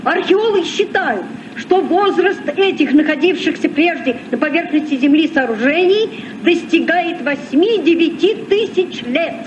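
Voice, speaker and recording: An actor playing an eager youthful ship's recorder, declaiming clearly in a vintage radio play. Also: very high voice, lively intonation, moderate pace, hardly any pauses, recorded from a distance. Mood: earnest. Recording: muffled, noisy old Soviet radio recording.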